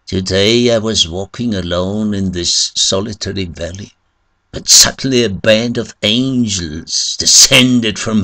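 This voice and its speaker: A man voicing a cunning, proud, wounded Satan in a story, pleading in drawn-out, commanding phrases. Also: low-pitched, sharp tone, dry recording, exaggerated voice. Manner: slow, drawn-out, commanding pleas.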